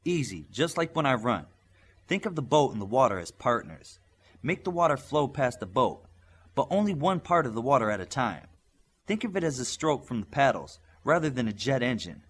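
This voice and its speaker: Man playing a reassuring, husky young superhero boy. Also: medium pitch, sing-song, normal speed. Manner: reassuring, explanatory. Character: fast young boy superhero, encouraging and matter-of-fact.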